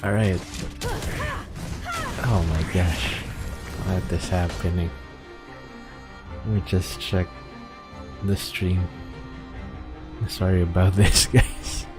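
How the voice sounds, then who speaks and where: Low-voiced, unhurried male game streamer. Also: steady tone. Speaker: game livestreamer. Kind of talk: casual live gameplay commentary. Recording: game music behind the voice. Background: video game music.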